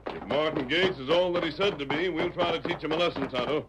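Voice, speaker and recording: An American actor playing a noble, commanding cowboy hero in an old-time radio western. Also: male voice, fairly high pitch, fast, over music, natural voice. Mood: earnest. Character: noble heroic masked cowboy lawman, earnest and commanding. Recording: noisy, clicky vintage radio recording.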